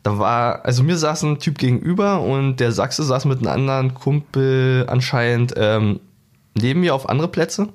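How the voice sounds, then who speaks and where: A man's voice: medium pitch, expressive, normal speed. A man co-hosting a podcast, telling joking, ranting anecdotes in casual chat. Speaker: podcast co-host.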